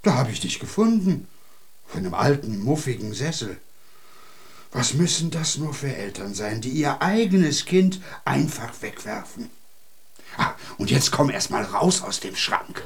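Kindly, animated older-style storybook man. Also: mid-range voice, unhurried, vocal fry. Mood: pitying, indignant. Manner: soothing, indignant on the child's behalf. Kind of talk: radio play acting for children.

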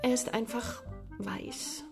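Cheerful, tactful wife. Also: female voice, on the high side, steady tone, slow, a little mellow, soft background music. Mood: cheerful, tentative. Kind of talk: German radio drama dialogue.

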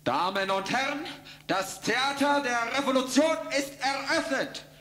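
An actor playing a pompous, mocking fairground barker who declaims in a satirical radio play. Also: male voice, extremely high pitch, unhurried, pushed and bright, heavily acted. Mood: mocking, showy. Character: mocking fairground barker presenting a freak show. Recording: echoing space with music behind.

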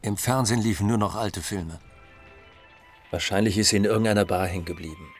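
A man's voice: fairly low pitch, brisk, faint music behind, close to an everyday voice. An actor playing a laconic, wry male friend giving his account in an interview-like radio drama. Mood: tired, reflective.

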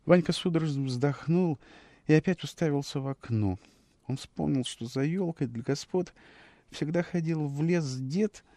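A man narrating a classic story for radio, with expressive, measured, dramatic storytelling. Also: a little high, no room sound.

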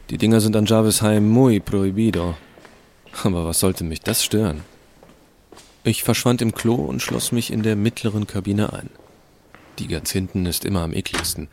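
A man's voice: fairly low pitch, a little hiss or hum, lightly acted. A man playing a cocky, irreverent teenage schoolboy who narrates his own story in a sardonic, colloquial way in an audio drama. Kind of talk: first-person scripted narration, fan audio drama.

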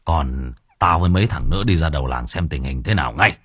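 A man voicing a stern older village chief who barks orders in a gruff, commanding way. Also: rumbling low, expressive, fast, fairly bright tone, no echo, clean studio sound, pitched below the performer's own voice, heavily acted.

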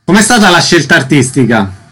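A man with a fairly high voice hosts a radio interview. He puts questions to his guests and gives announcements. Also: sing-song, a little fast, fairly bright tone, slightly rough.

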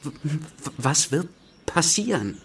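A young revolutionary lawyer in a radio drama, eager and stammering. His voice sits a little high and moves up and down in lively swings, catching on the first sounds of words. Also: slightly rough, exaggerated voice. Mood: urgent. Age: young adult. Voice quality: stammering, halting.

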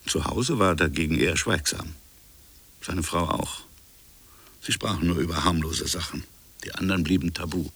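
A man playing a reflective French colonial official in a literary radio drama, recalling a buried affair in musing, fragmentary phrases with a gravelly, husky voice. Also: deep voice, normal speed, pauses often, a bit bright, full character voice. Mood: guarded, recollecting. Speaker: narrator and protagonist.

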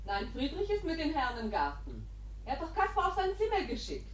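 An actress playing an alarmed young daughter in a radio play, giving quick, urgent replies. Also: female voice, sits high, huge pitch swings. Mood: calm replies. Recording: echoey, from far off.

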